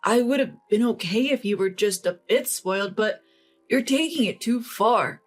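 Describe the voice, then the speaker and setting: A woman's voice: medium pitch, normal speed, faint music behind, natural voice. A woman voicing a fed-up young husband in a dramatized family story, serious and reproachful. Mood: angry, reproachful. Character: fed-up young husband, quietly angry with his bride.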